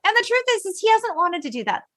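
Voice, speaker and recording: A woman hosting a film podcast, chatting in an emphatic, expressive way and acting out or reading quotes as she goes. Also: very high voice, quick pace. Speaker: podcast host. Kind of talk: animated film chat, retelling and reading out quotes.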